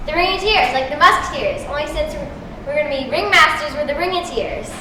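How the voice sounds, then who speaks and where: A woman's voice: high-pitched, a little fast, natural voice. A woman acting a bossy, melodramatic girl with emphatic delivery in an amateur stage comedy. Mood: showy, grandiose. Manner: emphatic, dramatic. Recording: echoey distant hall, clear noise.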